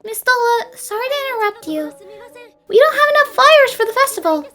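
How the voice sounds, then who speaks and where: Young female office assistant played by a woman, high voice, polite and anxious, anime dub. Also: sing-song, fairly quick, sharp tone, exaggerated voice. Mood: apologetic, worried. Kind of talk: anime fan dub acting.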